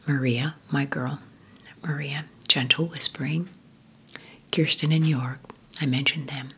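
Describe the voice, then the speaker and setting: A woman whispering gently through an ASMR question-and-answer video, talking fondly and slowly with soft fillers. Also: sits low, slightly rough. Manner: slow, gentle, affectionate rambling with fillers. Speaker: ASMR creator.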